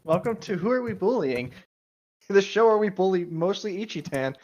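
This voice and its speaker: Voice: mid-range voice, lively intonation, normal speed, dull audio, noisy background. A show host with a playful, quipping manner, moving between casual banter and reading a story aloud. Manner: playful, interjecting quips. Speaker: host of a bad fan fiction reading show.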